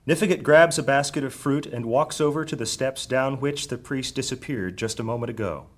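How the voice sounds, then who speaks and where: A man delivering dramatic, scripted narration for a high fantasy story. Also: fairly quick.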